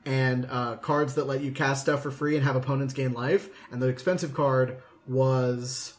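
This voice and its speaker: A man's voice: mid-range voice, fairly even intonation, normal speed. A man co-hosting a podcast in casual, informal conversation with friends. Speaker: podcast co-host. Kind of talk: casual chat.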